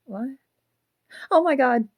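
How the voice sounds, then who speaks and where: A woman voicing a sad, clinging haunted puppet with a young boy's ghost in it, in a halting, earnest fan audio drama performance. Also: lowish voice, steady tone, warm tone, no room sound. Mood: pleading, upset.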